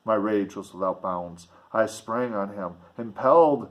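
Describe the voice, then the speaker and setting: A man's voice: on the low side, measured pace. Man reading a classic story expressively. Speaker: reader and commentator. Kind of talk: expressive audiobook narration with casual asides.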